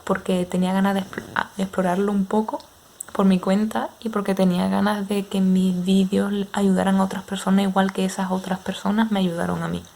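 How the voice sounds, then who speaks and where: A young Andalusian woman in her twenties talks casually to her viewers, taking her time and often pausing on little fillers. Her voice sits at an ordinary middle pitch for a woman. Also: level tone, creaky, darkish tone. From Andalusia, Spain. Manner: unhurried, hesitant with frequent fillers. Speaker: ASMR creator.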